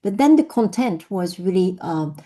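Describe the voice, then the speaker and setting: A woman's voice: mid-range voice, big pitch swings, moderate pace, dark tone. A middle-aged woman with a French accent, telling her personal story in a reflective way with many fillers and restarts. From France. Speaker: invited speaker. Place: online session.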